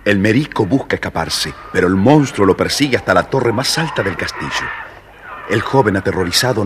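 A man with an Argentine accent narrating a scripted children's storybook. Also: deep voice. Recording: hall reverb, faint background noise.

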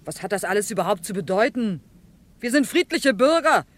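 A woman's voice: mid-range voice, animated, brisk, lightly acted. An actress playing a defiant captured woman from a gang, mocking and insolent in an old radio crime drama. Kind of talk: vintage radio drama acting.

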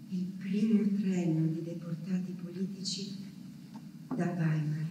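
An actress playing an anguished woman who waits for her deported husband, delivering a halting theatrical diary monologue that moves between hushed words and cries. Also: mid-range voice, natural voice. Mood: tense, expectant. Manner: halting, repeating words, swinging from hushed to cried out. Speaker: stage actress performing a monologue. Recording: echoey hall from far off, noisy.

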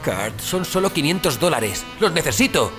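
A male actor plays a desperate man with a violent temper in an audio drama. His voice sits slightly high and swings widely as he pleads and curses. Music plays behind him. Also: very animated, moderate pace. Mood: desperate, indignant. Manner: pleading and aggressive, swearing. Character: desperate, foul-mouthed man turning violently on his friend. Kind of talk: Spanish-language audio fiction acting.

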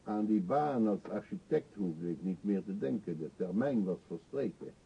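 An actor playing an eccentric, talkative older beggar in a radio drama, pushy and wry, confiding theatrically. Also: male voice, sits low, animated, moderate pace, creaky, slightly rough, heavily acted. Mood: bitterly amused. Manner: chatty, insistent, theatrically confiding. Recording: dull, muffled old radio play recording.

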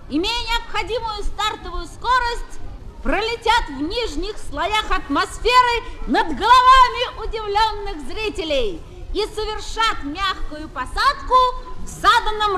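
A woman playing a pompous, excitable circus ringmaster, declaiming grandly in a children's audio sketch. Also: very high voice. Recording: noisy old flexi-disc.

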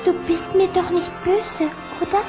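A woman playing an affectionate, excitable young vampire girl in a children's audio drama. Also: extremely high pitch, unhurried, over music. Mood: chatty, relieved.

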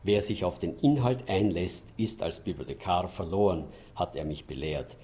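Guy, low mellow voice, measured and dryly ironic interview talk. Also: animated. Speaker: library director, interviewee.

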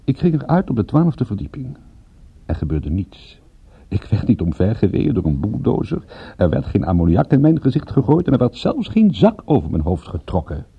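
An actor playing a wry, laconic private detective in a radio play, dry and ironic in dialogue and narration. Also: male voice, on the low side, huge pitch swings, normal speed, creaky, slightly rough, lo-fi sound, full character voice. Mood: wry narrating. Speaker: lead actor and first-person narrator. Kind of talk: Dutch radio drama acting and narration.

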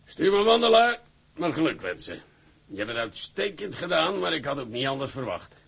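An actor playing a shrewd, measured and paternal boss of a shipping company, with a gravelly, husky voice. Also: male voice, on the high side, big pitch swings, a bit bright, exaggerated voice. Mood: approving. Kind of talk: drama dialogue.

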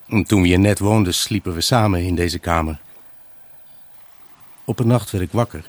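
A brooding, troubled husband in a radio drama. His voice is low and husky, with a rough grain. He recounts his unhappy marriage as an intimate dramatic monologue. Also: moderate pace. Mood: reflective, weary. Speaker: actor narrating a radio drama. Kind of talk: dramatic first-person monologue in a Dutch radio play.

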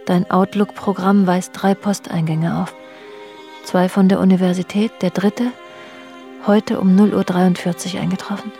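An actress playing a brooding, suspicious middle-aged wife in an intimate radio drama, hushed and halting, often slipping into a whisper. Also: female voice, lowish voice, steady tone, soft background music. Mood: quiet, searching. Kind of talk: intimate German radio drama acting, dialogue and inner monologue.

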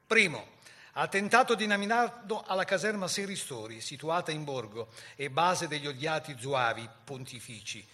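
Bright, higher-pitched male radio narrator. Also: expressive, normal speed. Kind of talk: scripted dramatic historical narration.

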